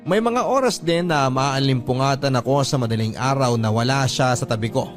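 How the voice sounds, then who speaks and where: A man's voice: medium pitch, close to an everyday voice. A man playing a devoted, worried husband who narrates his own story in an earnest, heartfelt way. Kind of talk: scripted dramatic narration of a letter. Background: background music.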